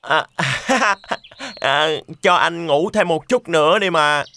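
A man's voice: a little high, takes it slowly, vocal fry, bright, forceful tone, no room sound, full character voice. A man voicing a lazy, carefree young pig brother in a children's cartoon. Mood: sleepy.

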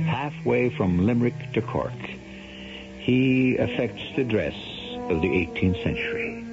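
An American man hosting and narrating a radio drama in a dramatic, deliberate way with ominous pauses. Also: fairly low pitch, gravelly. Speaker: host narrator. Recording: dull vintage radio with music.

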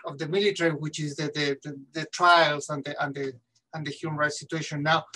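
Chilean-accented man, halting, set back from the mic. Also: a little high, level tone, pushed and bright, recorded from a distance, slight background noise. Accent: Chilean Spanish. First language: Spanish. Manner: halting, repeats words while searching for them. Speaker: audience questioner. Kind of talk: video-call question. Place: home study with bookshelves. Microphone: laptop webcam mic on video call.

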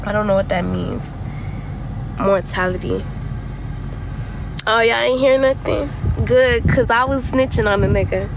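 A young woman with a fairly high, tired voice talks candidly on a casual livestream. She swears freely and uses heavy slang. Clear vehicle noise surrounds her phone recording from inside a car. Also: measured pace, pauses often. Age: young adult. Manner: blunt, confessional. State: tired, voice worn from yelling. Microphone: phone held close at arm's length. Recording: noisy phone audio with vehicle ambience.

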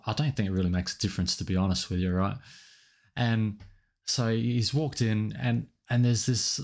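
A man in his thirties venting in a casual, exasperated monologue, speaking emphatically and often restarting his sentences, recorded in a small home studio with a desk microphone. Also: lowish voice. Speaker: vlogger. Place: small home studio room lit purple. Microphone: desk microphone in front of him.

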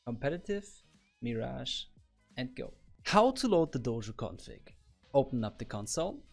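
A man in his thirties, a gaming coach, talking through a game tutorial in an upbeat, explanatory way from a home office on a studio mic. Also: medium pitch. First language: German. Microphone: boom arm studio mic.

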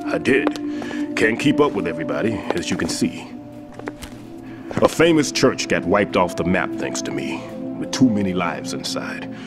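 An actor playing a weary, self-deprecating old retired bomb expert in a video game. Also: male voice, low-pitched, lively intonation, moderate pace, over music. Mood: weary, regretful. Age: older. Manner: weary, regretful. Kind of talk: video game cutscene acting.

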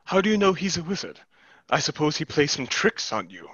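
A young actor playing a blunt, protective, sceptical brother in a play reading over a headset. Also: male voice, fairly high pitch, very animated, brisk, a bit bright, slightly rough, no room sound, heavily acted. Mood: suspicious. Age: young adult. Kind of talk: stage play reading over video call. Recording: dull video-call headset audio.

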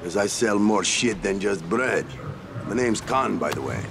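An actor playing a brash, slangy rogue trader in a video game, with a gravelly voice and a swaggering manner. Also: male voice, fairly low pitch, lively intonation, a little slow, background noise. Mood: brash, chummy. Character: brash, friendly rogue trader man among hostile locals.

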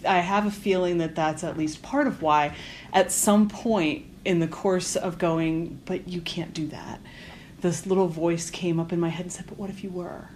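Female interviewer, fairly low register, conversational and hesitant, slight room noise. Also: fairly even intonation, moderate pace, a little mellow. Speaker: interviewer and writer. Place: hotel.

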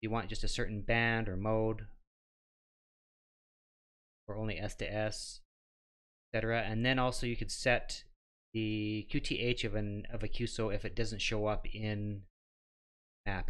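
A middle-aged man hosting a how-to show, walking through steps in a plain, instructional and somewhat halting way. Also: fairly low pitch, level tone, a bit bright. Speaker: host of a ham radio how-to show. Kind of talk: step-by-step tutorial narration.

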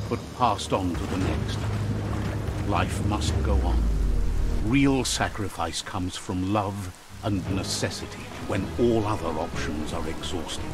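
Man playing a solemn storyteller over loud music. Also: fairly low pitch, close to an everyday voice. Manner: measured, proverb-laden, earnest storytelling. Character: solemn, wise male storyteller narrating a fable. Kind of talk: scripted video game narration.

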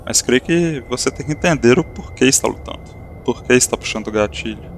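An actor playing a calm, reflective spirit of a dead friend in an audio drama, speaking softly and unhurriedly. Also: male voice, medium pitch, a little fast, slight background noise, natural voice. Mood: wistful. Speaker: spirit character.

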